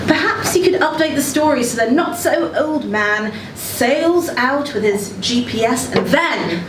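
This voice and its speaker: A woman reading as a condescending, blunt book editor in a satirical letter, with an arch, deliberate delivery and pointed pauses. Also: a little high. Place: indoor social venue at live reading event. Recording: roomy, noisy live venue, distant mic.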